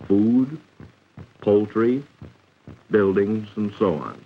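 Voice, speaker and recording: A dated, muffled 1940s film soundtrack carries an American man narrating a vocational training film. His voice is low and lively in its rise and fall. He reads formally and instructively, shaping each word with care. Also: slightly rough.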